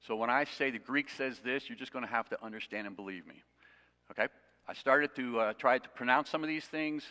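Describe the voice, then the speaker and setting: Male pastor, mid pitch, bright tone, teaching sermon, reverberant sanctuary sound. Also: fairly quick. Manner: conversational, self-deprecating asides. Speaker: preacher. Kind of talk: expository sermon. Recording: long reverb of a hall.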